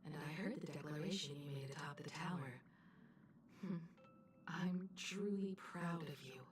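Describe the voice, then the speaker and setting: An actress playing an earnest, gentle young woman in a video game, recounting legends in a measured, sincere way. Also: sits low, far from the mic, slight background noise, lightly acted. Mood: tender, sincere. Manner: measured, sincere, story-telling delivery. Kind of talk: scripted video game dialogue.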